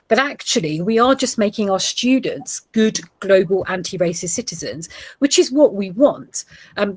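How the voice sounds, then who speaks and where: A woman in her forties, a geography teacher with a Gujarati heritage, explaining ideas to other teachers in a steady way with small fillers. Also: medium pitch, dry recording. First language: Gujarati heritage, English now first. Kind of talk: presentation to teachers.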